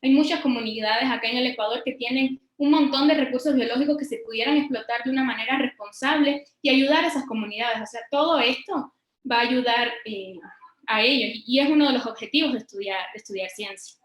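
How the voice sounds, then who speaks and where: A woman's voice: fairly high pitch, brisk. A woman from Cuba answering interview questions informally, explaining things directly to her listeners as a scientist guest. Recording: roomy, echoing sound.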